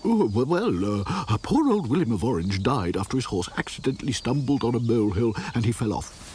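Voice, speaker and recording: A man's voice: mid-range voice, big pitch swings, brisk, darkish tone, slight background noise, close to an everyday voice. A man putting on a fussy old storybook mole. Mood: chatty. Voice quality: stammering, breathless and puffing. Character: fussy, talkative, short-sighted old male mole.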